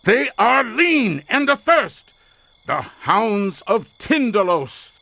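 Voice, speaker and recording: A haunted researcher in a horror audio drama. His fairly high voice is dry and close, with no room around it. He declaims in a feverish, portentous way, grandiose and near madness. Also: very animated, takes it slowly, bright, forceful tone, dry recording, exaggerated voice. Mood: awed, dreadful. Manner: theatrical, portentous, fervent declamation.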